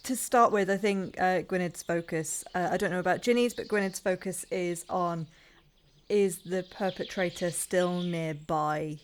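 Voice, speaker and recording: A woman's voice: lowish voice, fairly even intonation, unhurried, slight background noise. A woman talking casually as a player in a roleplaying game, working out her character's plan. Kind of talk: casual tabletop game talk.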